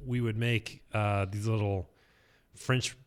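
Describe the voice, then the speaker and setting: A man's voice: lowish voice, steady tone, slow pace, clean tone. Playful male radio co-host. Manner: playful, boastful joking. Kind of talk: casual radio banter. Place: radio studio.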